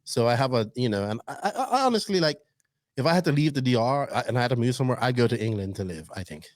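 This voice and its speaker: A man hosting a casual podcast, animated and teasing, rambling through his stories. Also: mid-range voice, fast, no room sound, clean studio sound. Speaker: podcast host. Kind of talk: casual chat.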